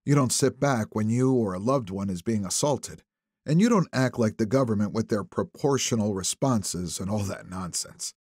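A man's voice: lowish voice, lively intonation, normal speed, a little mellow, slightly rough, no room sound. Dry, close-miked male audiobook narrator. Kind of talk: scripted narration of a thriller novel.

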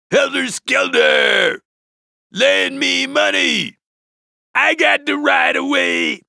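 An actor with an American accent playing a laid-back stoner metalhead school bus driver in a cartoon video game, with a raspy slacker drawl. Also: male voice, high-pitched, bright, forceful tone, dry recording, clean studio sound, heavily acted. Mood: rowdy, hyped. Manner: spaced-out slacker slang, drawn-out words.

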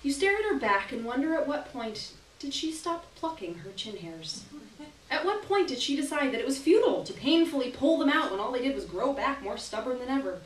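A young adult woman performing a short story aloud in a live, expressive literary reading with deliberate pauses. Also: high-pitched. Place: small gallery room, live stage reading. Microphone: stand microphone, recorded by distant camera. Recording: roomy, distant camera audio with hiss.

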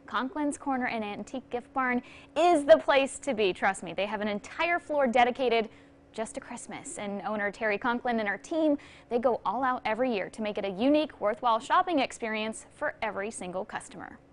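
A woman's voice: on the high side, lively intonation, brisk. A young woman hosting a TV show, presenting in a polished, upbeat way from a studio. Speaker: TV show host. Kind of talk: scripted TV presenting.

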